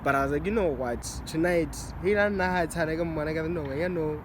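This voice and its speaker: A laid-back young man from recounts how he met his girlfriend. He talks in a relaxed drawl and goes quieter when quoting himself. Clear outdoor noise runs behind him. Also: on the high side, quick pace. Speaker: boyfriend, guest. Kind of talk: casual storytelling chat. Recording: noisy outdoor setting.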